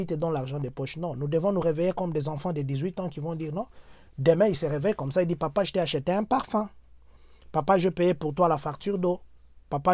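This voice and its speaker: A man's voice: a little high, darkish tone, dry recording. A middle-aged man from Congo with a Congolese French accent, giving an impassioned motivational address to his compatriots, mixing in Lingala and repeating rallying phrases. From Democratic Republic of the Congo. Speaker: community speaker addressing compatriots. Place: United States.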